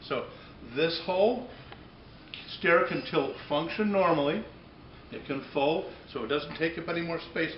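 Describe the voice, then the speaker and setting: Male product demonstrator, halting and unscripted, restating as he goes; dull, roomy sound with light noise behind. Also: on the high side. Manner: halting, repeats and rephrases phrases. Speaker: company presenter demonstrating a product. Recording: echoey room, dull muffled audio, noticeable background noise.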